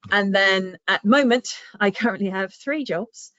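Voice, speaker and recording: A woman's voice: mid-range voice, normal speed, fairly bright tone, dry recording. A woman from southern England with a southern English accent, giving a chatty webinar talk with lots of ums over a video call. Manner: chatty, frequent ums, self-deprecating asides. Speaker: guest speaker, public engagement manager. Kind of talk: webinar presentation. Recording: dry, muffled video-call audio.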